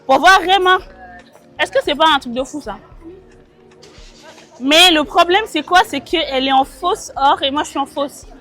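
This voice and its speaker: A woman's voice: high-pitched, moderate pace, pauses often, a bit bright, faint music behind. Chatty young woman vlogging her outfit. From France. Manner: chatty, mixes in English words, laughs at herself. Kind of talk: casual vlog chat. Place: at home getting ready, then out in the street and metro. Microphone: small vlogging mic.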